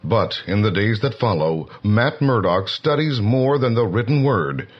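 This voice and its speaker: A man's voice: fairly low pitch, lively intonation, unhurried, faint music behind. A male narrator telling a comic story in a declamatory, dramatic way. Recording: dull lo-fi vintage record.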